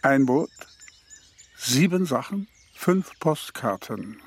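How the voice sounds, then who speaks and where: Slow, pompous know-it-all. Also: male voice, mid-range voice, sing-song, breathy edge, no room sound, full character voice. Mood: pondering. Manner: ponderous, pedantic, riddling. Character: pompous, touchy male personified piece of good advice, slow and ponderous. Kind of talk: children's radio play acting.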